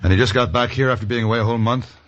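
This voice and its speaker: A man's voice: medium pitch, fairly even intonation, rapid-fire, natural voice. An actor playing a cool, wry hired gunman in an old radio western, speaking with dry courtesy. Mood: guarded. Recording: dull vintage radio recording.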